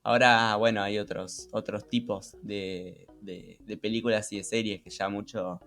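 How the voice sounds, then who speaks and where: A man's voice: lowish voice, fairly even intonation, moderate pace, fairly bright tone. A young man with a Rioplatense Spanish accent, co-hosting a casual podcast in a reflective, somewhat hesitant way, repeating words as he goes. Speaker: podcast co-host. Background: background music.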